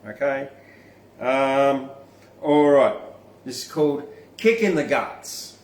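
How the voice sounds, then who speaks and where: A man performs spoken verse in a slow, deliberate way. His manner is informal and blunt, dropping into casual asides between lines. The sound is roomy, with a noticeable echo around the voice. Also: medium pitch, distant mic. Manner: informal, blunt, freely swearing. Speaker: podcast host and poet reading own verse. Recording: roomy, echoing room sound.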